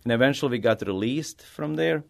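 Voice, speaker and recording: A middle-aged man from Bosnia with a Bosnian accent, a native Bosnian speaker answering interview questions as an artist in halting, non-native English. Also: medium pitch, lively intonation, vocal fry. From Bosnia, former Yugoslavia. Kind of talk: conversational interview answers, personal storytelling.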